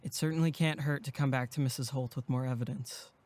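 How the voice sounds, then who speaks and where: A woman acting in an audio drama as a sensible, sardonic young camp counselor with a measured, deadpan delivery. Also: a bass voice. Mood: relieved, practical.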